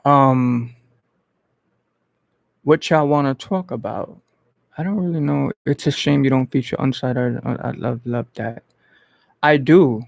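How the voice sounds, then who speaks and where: A man from the Bronx, hosting a casual livestream and thinking aloud in an informal, halting way. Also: medium pitch, gravelly, dry recording. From Bronx, New York. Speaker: host of an online entertainment interview channel. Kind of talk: casual livestream chat answering viewers.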